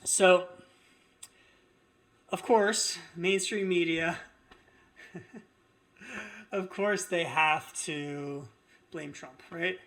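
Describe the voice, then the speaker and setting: Male political commentator, higher-than-average voice, indignant and emphatic, unscripted opinion delivery. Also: very slow, pauses often, fairly bright tone. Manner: indignant, emphatic, repetitive phrasing.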